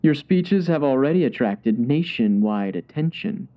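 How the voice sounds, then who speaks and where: A man's voice: medium pitch, animated, a little slow, dull audio. A man playing a smug, scheming crime boss in the style of an old radio villain.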